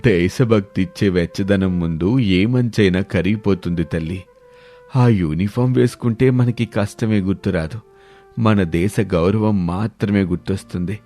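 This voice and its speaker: A man plays an old, patriotic army veteran in a storybook drama. He speaks solemnly and earnestly in a low, very mellow voice, with soft music behind. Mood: proud, earnest.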